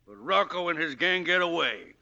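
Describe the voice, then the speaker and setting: Sharp-voiced, grieving old father. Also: on the high side, animated, moderate pace, full character voice. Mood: bitter. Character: grieving, bitter old father, hotel owner. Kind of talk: 1940s radio drama acting. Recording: muffled 1940s radio broadcast.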